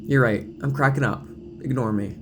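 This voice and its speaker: A man's voice: mid-range voice, normal speed, over music, lightly acted. Man voicing a troubled, subdued teenage girl. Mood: anxious. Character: troubled, weary teenage girl, anxious survivor.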